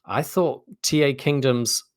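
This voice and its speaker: A man's voice: mid-range voice, measured pace, dry recording. A man speaking as an interview guest, articulate and explanatory in a thoughtful conversational style. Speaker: interview guest, game narrative designer.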